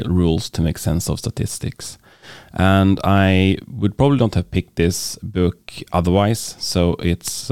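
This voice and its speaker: A man's voice: sits low, darkish tone, dry recording, clean studio sound. A man with a Swedish accent, hosting a podcast and talking casually about books, hesitant with many fillers and restarts. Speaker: podcast host. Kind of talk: casual book talk.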